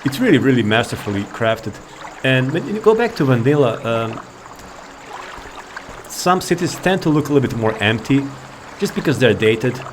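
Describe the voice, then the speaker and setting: A man's voice: medium pitch, sing-song, normal speed, slight background noise. A man giving casual, rambling gameplay commentary, laid-back and thinking aloud. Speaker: gaming video creator.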